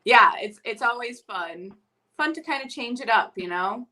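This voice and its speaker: Bubbly high-voiced woman hosting. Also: animated, normal speed, a crowd in the background. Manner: bubbly, upbeat, laughs along. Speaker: host. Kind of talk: casual livestream interview. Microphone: video call.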